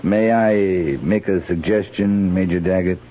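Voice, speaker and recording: A man's voice: sits low, steady tone, unhurried, narrow, tinny audio. An American man, middle-aged, playing a seasoned, stubborn cavalry captain with clipped, dry command, in 1950s radio western style. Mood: insistent. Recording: thin band-limited old radio recording.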